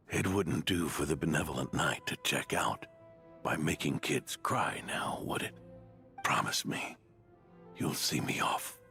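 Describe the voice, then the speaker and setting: A man playing a gentle, dying old knight in a video game, speaking tenderly and haltingly in a gravelly voice. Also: very deep voice, lively intonation, full character voice. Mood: gently consoling. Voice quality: gravelly, breathy at times. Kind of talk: dramatic game voice acting. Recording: music behind the voice.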